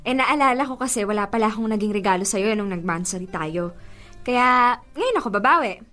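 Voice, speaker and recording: A woman's voice: medium pitch, animated, brisk, pushed and bright, soft background music. An actress playing a devoted, coaxing young girlfriend in a Filipino radio drama, with a Filipino accent. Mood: playful, teasing. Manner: emotive, coaxing, teasing. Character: pleading, playful young girlfriend, devoted and sweet.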